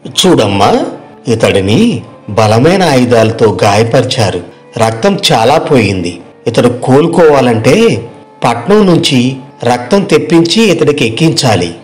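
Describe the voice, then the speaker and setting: A man's voice: mid-range voice, sing-song, unhurried, soft background music. A man playing a serious, urgent village doctor who gives instructions in a moral story cartoon. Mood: grave, urgent.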